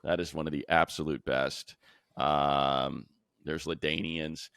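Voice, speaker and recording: A male sports announcer chats casually and with enthusiasm about football. His voice sits very low with a gravelly fry, and it is recorded dry and close. He talks in a colloquial, conversational storytelling way. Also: little pitch movement, slow pace, a bit bright, dry recording. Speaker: sports show host and football play-by-play announcer.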